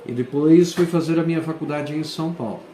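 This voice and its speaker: A man, a school principal answering interview questions and often pausing to search for words. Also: on the high side, dark tone, a little hiss or hum. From southern Brazil. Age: 40s. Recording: echoey room.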